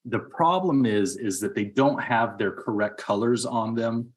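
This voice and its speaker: A man's voice: medium pitch, unhurried. A middle-aged man giving his opinions in a casual, rambling movie podcast chat. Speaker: podcast host.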